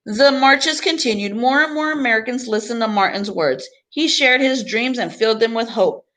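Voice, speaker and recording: A woman teacher reading a picture book aloud to students, phrasing deliberately in short pieces and adding teaching asides. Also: fairly high pitch, a bit bright, low-quality recording.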